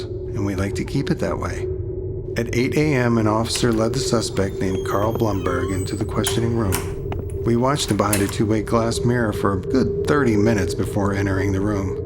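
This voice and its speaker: A man playing a serious, somber police detective, narrating a crime audio drama in the first person with measured delivery. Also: medium pitch, lightly acted. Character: somber male police detective recounting a serial killer case. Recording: music playing under the voice. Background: music.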